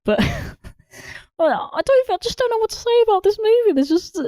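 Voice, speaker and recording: A woman's voice: extremely high pitch, animated, normal speed, mellow, dry recording. A woman co-hosting a casual film review chat, commenting in a wry, bemused way. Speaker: podcast co-host.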